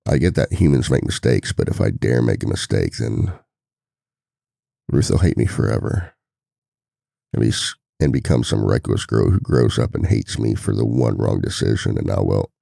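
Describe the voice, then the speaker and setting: Man acting as very deep, gravelly devoted single dad. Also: normal speed, dark tone, dry recording, studio quality, full character voice. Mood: anxious, tender. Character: devoted single dad boyfriend, worried, tender, venting. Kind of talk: intimate boyfriend ASMR roleplay.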